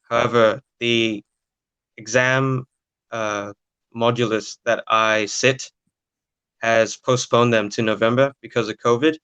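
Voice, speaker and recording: A man's voice: mid-range voice, sharp tone, smooth, no room sound, highs cut off. A nineteen-year-old man answering interview questions casually and hesitantly, with lots of filler sounds. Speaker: podcast guest, young footballer.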